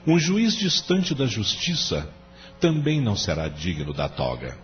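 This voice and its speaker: A man's voice: fairly low pitch, big pitch swings, a little slow. Man playing solemn professor with hugely swinging intonation. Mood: solemn, emphatic. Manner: oratorical, emphatic. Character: solemn, idealistic male law professor lecturing students. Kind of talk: audio drama acting. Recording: dull lo-fi audio. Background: music.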